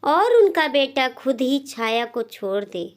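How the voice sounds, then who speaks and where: High voiced lady narrating a moral story, really slow, lots of pauses. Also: big pitch swings, background noise.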